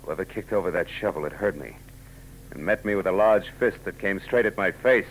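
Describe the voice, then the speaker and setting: An actor playing a wry, hard-boiled private eye with a dry, sardonic manner in 1940s radio drama. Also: male voice, low-pitched, fairly quick, slight background noise, lightly acted, rough recording. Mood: dry, tense. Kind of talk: 1940s radio drama acting and first-person narration. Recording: 1940s radio recording with music.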